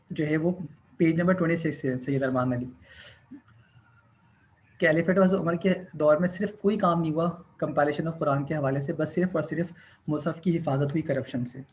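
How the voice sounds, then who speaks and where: Higher-voiced male teacher dictating notes. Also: a little mellow, slight background noise, rough recording. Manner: mixes English dictation with Hindi-Urdu explanation, slow and deliberate when dictating. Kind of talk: classroom lecture, dictating notes. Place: classroom.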